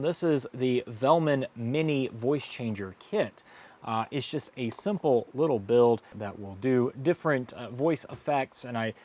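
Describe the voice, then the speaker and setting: Casual man thinking aloud at the workbench. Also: medium pitch. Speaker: host of an electronics hobby vlog. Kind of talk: casual unscripted build narration.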